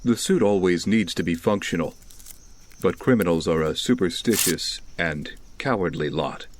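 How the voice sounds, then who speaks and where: A man acts a brooding masked vigilante with a very low voice. He is stoic and stubborn, delivering everything in an understated, matter-of-fact audio drama style. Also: moderate pace, slight background noise, close to an everyday voice.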